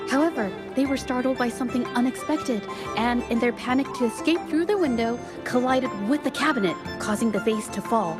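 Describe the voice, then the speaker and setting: A woman playing a polite, thoughtful young female investigator in a video game, speaking in a measured, gentle, analytical way. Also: fairly high pitch, natural voice. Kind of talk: video game story voice acting. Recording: music under the voice.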